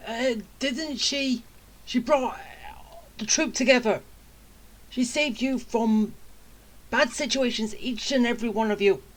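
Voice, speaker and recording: Higher-voiced woman casually reacting to a game. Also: unhurried, pauses often, low-quality recording.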